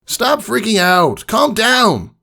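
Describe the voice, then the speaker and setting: Brash girl pegasus flight coach male reader acting, raised high pitch, confident, bossy, cartoon storybook energy. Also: sing-song, slow, vocal fry, pushed and bright, exaggerated voice. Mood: exasperated. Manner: bossy, encouraging. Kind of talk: cartoon fan fiction character voice.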